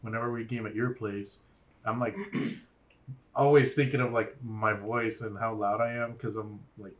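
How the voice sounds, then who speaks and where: A man with a naturally loud, carrying voice, chatting casually and acting out quotes as he tells a story. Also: lowish voice, fairly even intonation, unhurried. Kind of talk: casual chat.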